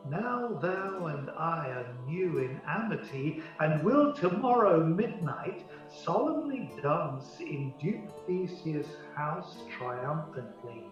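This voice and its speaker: A lordly fairy king acted by a man declaims Shakespearean verse in a radio play. His fairly high voice moves very slowly and grandly. It sounds distant, in a huge echo over music. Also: highs cut off. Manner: stately, deliberate declaiming.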